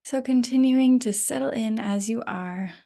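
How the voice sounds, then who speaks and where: A woman guiding a restful yoga nidra meditation in a gentle, unhurried manner with long pauses, her voice drifting into breathy near-whispers. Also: a little high. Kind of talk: guided rest meditation.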